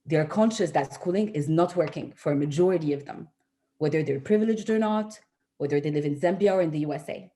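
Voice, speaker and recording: A woman delivering a prepared advocacy speech as an education activist, speaking earnestly in measured, deliberate phrases. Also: low-pitched, warm tone. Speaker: youth education movement co-founder, speaker.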